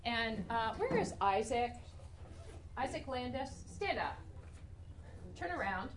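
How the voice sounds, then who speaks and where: A woman's voice: fairly high pitch, very animated, slow, background noise. A woman hosting an event, introducing the guest speaker in a casual, jokey way with a few hesitations. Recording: distant mic with a crowd of listeners.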